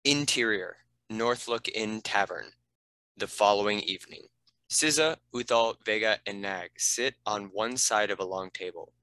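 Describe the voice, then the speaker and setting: Male narrator, fairly low, crisp forceful tone, scripted action narration, dry studio-like sound. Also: unhurried, dry recording, poor audio. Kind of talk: scripted narration of stage directions.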